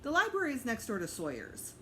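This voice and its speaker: A woman's voice: a little high, wildly swooping pitch, fast talker, pushed and bright, slight background noise. A woman narrating a children's book aloud as a teacher reading to students.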